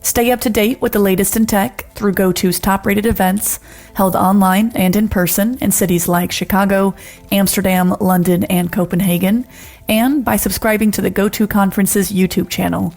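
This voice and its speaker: A woman giving a polished, upbeat promotional read at a middle pitch. Faint music plays underneath her voice-over. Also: fairly even intonation, normal speed, darkish tone. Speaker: promo announcer.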